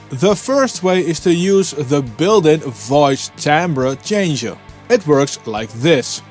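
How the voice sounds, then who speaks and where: A man's voice: a little high, a little mellow. A man presenting a product review as a scripted, explanatory narration. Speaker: host of an audio plugin review.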